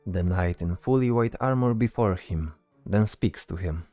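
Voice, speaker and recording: A man narrating a scripted fantasy story as storyteller, delivering it deliberately in short phrases. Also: low voice, dark tone, faint music behind.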